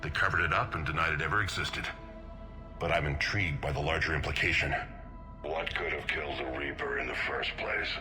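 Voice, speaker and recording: Casting: older game scientist, very deep voice, earnest and driven, music beneath. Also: male voice, brisk. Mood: urgent, intrigued. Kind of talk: video game voice acting. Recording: music under the voice.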